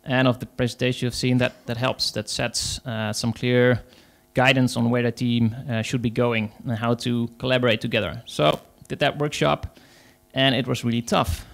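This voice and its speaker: A man's voice: medium pitch, little pitch movement, normal speed, vocal fry, hardly any pauses, a bit bright. A man with a Dutch accent, speaking English as a second language, gives a conference talk as an agile coach, hesitant, with many fillers. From Netherlands.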